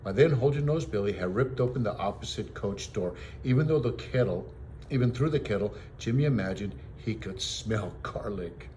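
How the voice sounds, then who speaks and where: A man with a fairly low voice narrates a children's story like a teacher reading to a class. The delivery is expressive, and there is slight noise in the recording. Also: normal speed. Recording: noisy home recording.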